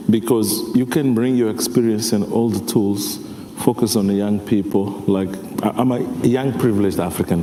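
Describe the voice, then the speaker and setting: Guy on a panel, warm low-key voice, big echoey hall, keeps asking do you get me. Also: slight background noise. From Mozambique. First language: Portuguese. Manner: passionate, keeps asking if listeners follow. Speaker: panelist and jazz musician. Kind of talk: panel discussion. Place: large conference hall stage. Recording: echoey hall with faint ambience.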